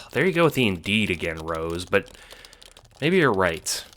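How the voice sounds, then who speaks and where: A man's voice: deep voice, big pitch swings, a little slow, a bit bright, exaggerated voice. A man playing an awkward, hesitant teenage prankster who questions their gender, in an audio drama. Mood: shy, unsure. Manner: hesitant, searching for words.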